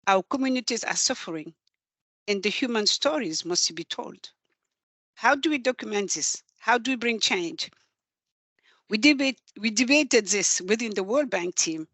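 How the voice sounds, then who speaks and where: A woman with a Senegalese accent presenting in an earnest, measured, storytelling way in a prepared talk. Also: mid-range voice, bright, forceful tone, no room sound. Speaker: presenter from a development organisation.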